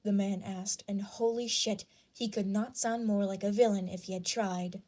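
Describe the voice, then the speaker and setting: A woman's voice: mid-range voice, steady tone, normal speed, a little mellow. A woman reading scripted fan fiction as the narrator.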